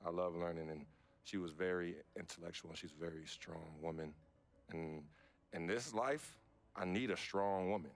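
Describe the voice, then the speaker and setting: Laid-back, deep-voiced young American athlete. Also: male voice, moderate pace. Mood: reflective, candid. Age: young adult. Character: young star basketball player, laid-back, loyal, self-assured. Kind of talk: naturalistic video game cutscene acting. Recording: music behind the voice.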